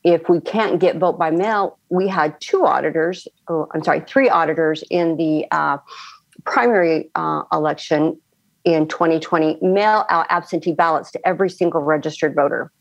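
A middle-aged woman from the American Midwest with a deep voice and plenty of vocal fry. She talks plainly and earnestly as an interview guest, recorded very dry. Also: moderate pace, no room sound, lo-fi sound. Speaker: former election official, interview guest. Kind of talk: conversational interview.

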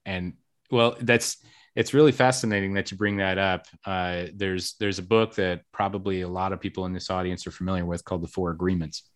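A man's voice: deep voice, steady tone, a little fast. A man hosting a casual podcast interview, talkative and upbeat, with self-deprecating jokes. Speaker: podcast host.

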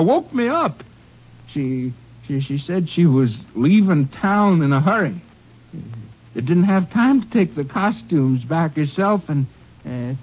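A man's voice: fairly high pitch, slightly rough, full character voice. An actor playing a desperate, impoverished father who stammers and pleads his innocence in an old-time radio drama. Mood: despairing. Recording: muffled vintage radio recording.

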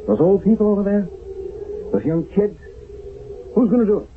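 An actor playing a steady, defiant American sergeant held prisoner in a radio drama, challenging but controlled. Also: male voice, high-pitched, normal speed, noisy background, close to an everyday voice. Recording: tinny old radio broadcast.

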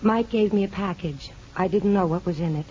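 A woman's voice: lowish voice, brisk, a little mellow, lightly acted. An actress playing an earnest young woman in a restrained, plain-spoken 1950s radio drama style. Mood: guarded. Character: earnest young woman, former prisoner working as nurse's aide. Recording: dull, hissy 1950s radio broadcast.

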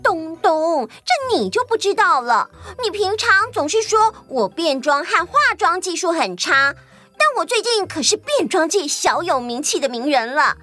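A very high, bright voice of a woman playing a bubbly young assistant in a children's audio story. She is chatty, boastful and scatterbrained, with excitable, swooping delivery. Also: a little slow, soft background music, exaggerated voice. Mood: proud, excited.

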